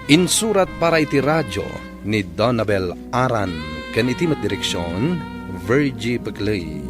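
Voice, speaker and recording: A man delivering dramatic scripted narration as a radio drama announcer. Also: medium pitch. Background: music.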